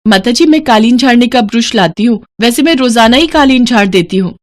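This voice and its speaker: Female performer as housemaid, meek, respectful, raised pitch, hardworking, moral story cartoon. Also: expressive, fairly quick, close to an everyday voice. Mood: deferential. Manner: respectful, deferential.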